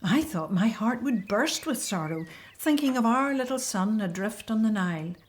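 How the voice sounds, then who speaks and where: A woman's voice: a little high, expressive, normal speed, a little hiss or hum, lightly acted. An actress playing a loving Hebrew slave mother in a radio drama, telling things earnestly and with feeling. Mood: relieved, excited. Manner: earnest, emotional storytelling.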